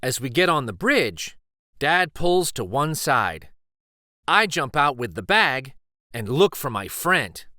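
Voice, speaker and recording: Bright, animated male storyteller, unhurried. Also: medium pitch, no room sound, clean studio sound. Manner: deliberate, animated storytelling delivery. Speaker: children's read-aloud storyteller. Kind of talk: expressive picture book narration.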